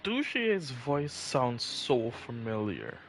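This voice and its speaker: A male game streamer talks casually in a medium-pitched, lively voice as he comments on his play. Light music sits in the background. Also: slow.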